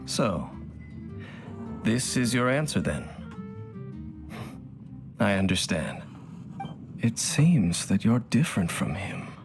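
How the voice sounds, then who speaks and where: A male voice actor playing a cool, enigmatic swordsman in a video game, speaking in a measured, formal and guarded way. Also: on the low side, sing-song, full character voice. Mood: solemn questioning. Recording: music behind the voice.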